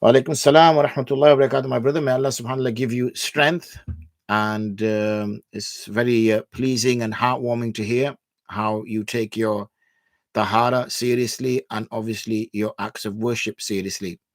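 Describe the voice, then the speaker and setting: Measured male Islamic scholar, dry sound. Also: mid-range voice, fairly even intonation, no room sound. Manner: measured, patient, explains with everyday examples. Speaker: Islamic scholar answering viewer questions. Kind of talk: religious Q&A explanation.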